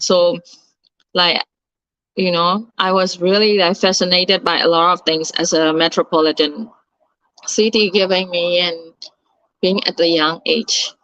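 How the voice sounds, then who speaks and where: A woman's voice: lowish voice, fairly bright tone, clean tone, no echo. A woman, chatting as an interview guest and searching for words with many fillers. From Myanmar. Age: 30s. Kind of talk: casual interview chat. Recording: dull, slightly lo-fi sound.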